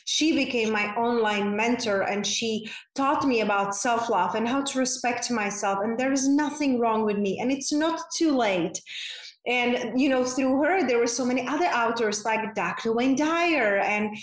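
A woman's voice: fairly high pitch, measured pace. Czech-accented woman with a roomy echo. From Czech Republic. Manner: earnest, heartfelt, many "like" fillers. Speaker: podcast guest, coach. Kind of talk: casual interview chat.

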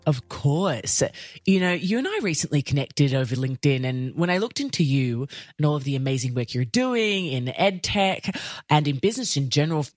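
A woman's voice: sits low, animated, moderate pace, darkish tone, no room sound, clean studio sound, faint music behind. A woman hosting a podcast, reading an upbeat intro and then chatting enthusiastically with her guest. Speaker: podcast host. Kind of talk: scripted intro then interview chat.

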